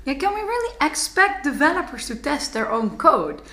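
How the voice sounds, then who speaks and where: A woman's voice: high voice, very animated, normal speed, sharp tone. Dutch-native woman host, echoey sound. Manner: probing questions. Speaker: podcast host. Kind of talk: podcast interview.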